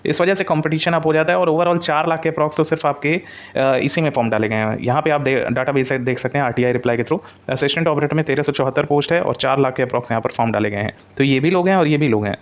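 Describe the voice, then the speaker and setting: Fast-talking man, dull recording. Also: on the high side. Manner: mixes English words into Hindi, repeats points for emphasis. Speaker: exam coaching presenter. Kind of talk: informal explanatory exam update. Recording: dull, lo-fi audio.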